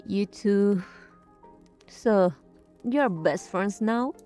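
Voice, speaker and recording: A woman's voice: medium pitch, extremely slow, warm tone, faint music behind. A woman streamer giving casual game commentary in short, musing reactions.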